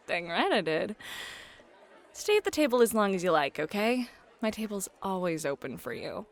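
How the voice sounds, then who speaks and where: A woman playing a playful, flirty club hostess and game master in an ASMR roleplay, bubbly, teasing and expressive. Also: mid-range voice, moderate pace. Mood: casual, cheerful. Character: playful, flirty club hostess running tabletop adventure games. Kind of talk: scripted ASMR roleplay, acted. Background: background music.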